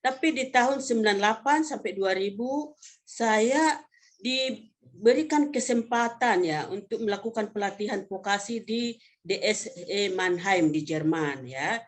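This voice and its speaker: A woman in her fifties from North Sumatra, Indonesia, presenting slides informally as a professor, in a conversational, halting way with self-corrections. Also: mid-range voice, animated. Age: 50s. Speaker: vocational education professor presenting a webinar.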